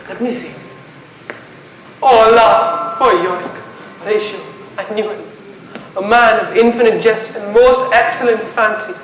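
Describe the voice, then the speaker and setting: A man's voice: sits high, slight background noise. A young man playing a brooding, passionate prince in a school stage Shakespeare play, with theatrical declamation. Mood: mournful musing. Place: indoor stage in a hall. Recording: very echoey hall, loud music behind.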